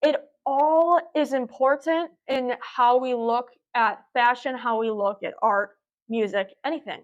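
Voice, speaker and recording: A woman's voice: on the high side, steady tone, a little slow, dull audio. A young woman, a podcast guest, talking at length in a reflective, casual way. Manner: reflective, talkative, explains at length.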